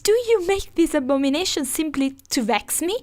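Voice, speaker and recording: High-voiced haughty nature goddess played by a woman. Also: expressive, moderate pace, a little mellow. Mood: exasperated. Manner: formal, reproachful, theatrical. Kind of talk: audio drama acting.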